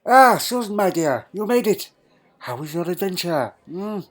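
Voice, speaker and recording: A man's voice: sits high, big pitch swings, normal speed, a bit bright, exaggerated voice. Elderly time traveller, played by a man: doddering, fussy, imperious but fond, hums questioningly; audio drama over music. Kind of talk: fan audio drama acting. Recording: music underneath.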